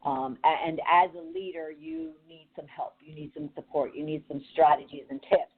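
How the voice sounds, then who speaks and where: A woman with a deep, raspy voice hosting a podcast as a leadership coach, speaking directly and challengingly with frequent fillers. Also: very animated, moderate pace. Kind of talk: motivational podcast monologue. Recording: tinny phone-like audio.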